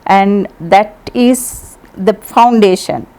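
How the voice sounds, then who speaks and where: A woman whose first language is Sinhala, from Sri Lanka, giving measured, diplomatic interview answers with frequent pauses. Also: mid-range voice, a little mellow. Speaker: ambassador being interviewed.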